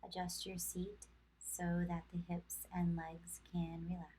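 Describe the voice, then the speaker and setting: Female meditation guide, soothing and measured, extremely even in tone, unhurried pauses, light background noise on the recording. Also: fairly low pitch. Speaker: yoga instructor.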